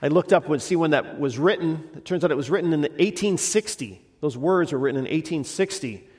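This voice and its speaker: A man's voice: on the high side, fairly quick, a little mellow. A middle-aged male pastor with a slight head cold, preaching a sermon in a conversational, meandering way with frequent restarts. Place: church sanctuary. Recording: long reverb of a church hall.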